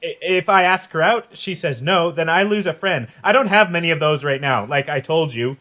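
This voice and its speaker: A man acting as a troubled actor brother in an audio drama, halting and defensive in manner. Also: on the high side, sharp tone.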